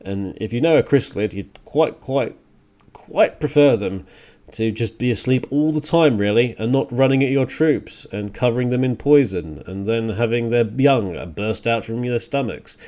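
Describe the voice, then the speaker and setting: A man's voice: medium pitch, moderate pace, dark tone. A man hosting a music radio show, rambling casually and thinking aloud with hesitant digressions.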